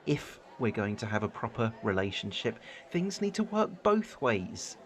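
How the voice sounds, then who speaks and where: A man's voice: fairly low pitch, big pitch swings, unhurried, fairly bright tone, some chatter behind. A man playing a beleaguered, earnest boyfriend in a stage drama, pleading and hesitant as he argues. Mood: exasperated. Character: beleaguered boyfriend trying to set boundaries, earnest and exasperated. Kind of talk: dramatic dialogue from a stage play.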